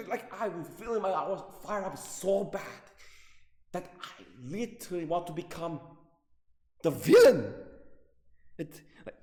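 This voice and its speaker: A man with a very high-pitched voice rants off the cuff like an agitated gaming video creator. He is profane and repetitive, stretching words for emphasis in halting bursts. The sound has a long, hall-like reverb. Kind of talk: angry off-the-cuff rant about video games.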